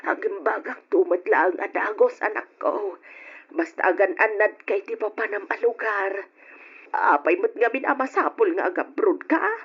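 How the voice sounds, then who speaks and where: A woman's voice: medium pitch, expressive, moderate pace, slightly rough. An actress playing a caring middle-aged mother in an old radio drama, speaking tenderly and reassuringly. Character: caring mother of grown children. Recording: dull old radio recording.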